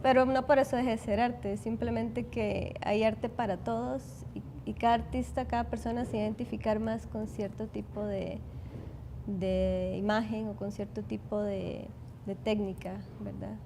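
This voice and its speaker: A woman's voice: medium pitch, level tone, unhurried, creaky, background noise. A woman, speaking thoughtfully and measuredly as a guest in a TV studio interview.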